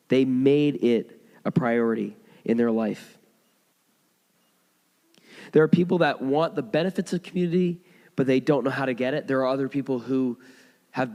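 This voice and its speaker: A hoarse man preaching a sermon, telling stories in a conversational way with frequent restarts. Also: mid-range voice, normal speed, pauses often, a little mellow. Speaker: pastor. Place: church sanctuary. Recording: long hall reverb.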